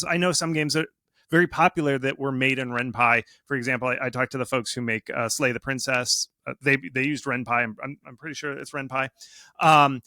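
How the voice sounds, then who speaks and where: A man giving advice as a guest expert in a casual interview, speaking in an animated, informal, self-deprecating way. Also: medium pitch, dry recording. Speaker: guest game marketing expert. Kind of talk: casual interview talk, explaining and advising.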